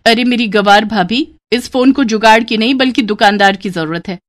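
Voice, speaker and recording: A woman's voice: fairly high pitch, moderate pace, natural voice. A woman voicing a snooty young city sister-in-law who scolds haughtily and sprinkles in English words, in a family story. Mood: mocking.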